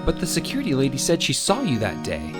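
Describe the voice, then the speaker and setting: A woman's voice: very deep voice, wildly swooping pitch, fast, exaggerated voice. A woman playing an earnest, dry-witted young male rookie lawyer in a video game fan dub. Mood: earnest, probing. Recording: music behind the voice. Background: music.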